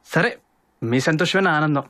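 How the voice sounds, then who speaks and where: A man's voice: on the high side, animated, moderate pace, lightly acted. A man playing a dutiful, coaxing young adult son in a film comedy. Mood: agreeable. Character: dutiful, coaxing grown son talking to his mother. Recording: film soundtrack with clear music.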